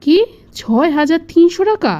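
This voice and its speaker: A woman with a Bangladeshi accent playing a brisk, price-conscious shopper in a cartoon skit. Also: sits high, lively intonation, mellow, dull audio. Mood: indignant.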